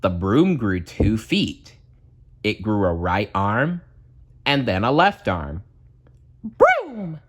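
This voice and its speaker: A man narrating a children's storybook aloud in a dramatic, deliberate storytelling manner. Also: lowish voice, big pitch swings, noisy background.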